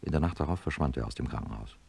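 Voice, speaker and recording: Radio play lead: principled professor of surgery, articulate man, very animated intonation. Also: very deep voice, rapid-fire, vocal fry, husky, full character voice. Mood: resigned. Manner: educated, emphatic, argumentative. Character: defiant male neurosurgeon professor, indignant, principled, also narrating wearily. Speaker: lead character and narrator. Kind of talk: German radio play acting and narration.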